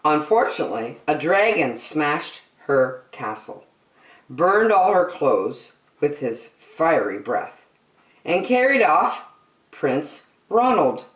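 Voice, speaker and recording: A woman reading a picture book aloud to children as a storyteller, expressively, with deliberate dramatic emphasis on key words. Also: low voice, big pitch swings. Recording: roomy indoor echo.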